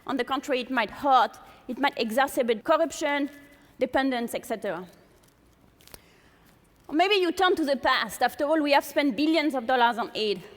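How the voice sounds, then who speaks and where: A woman's voice: sits high, expressive, normal speed. A woman from France with a strong French accent, giving a public lecture to an audience in a large hall and posing many rhetorical questions. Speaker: speaker giving a talk to an audience. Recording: long hall reverb.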